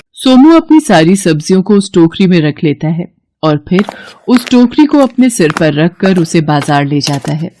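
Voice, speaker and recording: A woman's voice: on the low side, warm tone. A woman narrating a scripted children's bedtime story as the storyteller.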